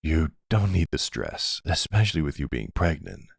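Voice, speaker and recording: Man playing a very deep, gravelly mafia boyfriend. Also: very animated, normal speed, no room sound, clean studio sound, full character voice. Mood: soothing, vulnerable. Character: gentle older mafia man, devoted boyfriend and father. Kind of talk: intimate ASMR boyfriend roleplay.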